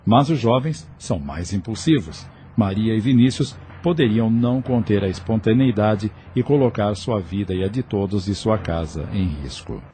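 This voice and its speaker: A man's voice: lowish voice, animated, normal speed, hardly any pauses, dark tone, a little hiss or hum. A man with a Brazilian accent reading scripted narration for an audio novel. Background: music.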